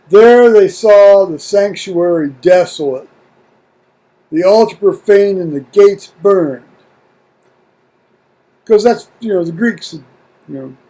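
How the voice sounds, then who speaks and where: An American man video blogging at home in a halting, folksy, rambling way, muttering to himself and reading to viewers. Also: sits high, warm tone, poor audio. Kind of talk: rambling casual chat with scripture reading. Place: at home, indoors.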